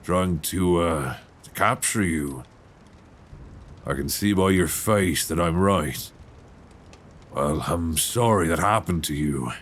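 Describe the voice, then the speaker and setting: A man playing a gentle but probing, folksy 19th-century lighthouse keeper in an intimate ASMR suspense roleplay, soft-spoken, halting and reassuring, close on a binaural mic. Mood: quietly knowing. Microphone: close binaural microphone. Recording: faint low rumbling background noise.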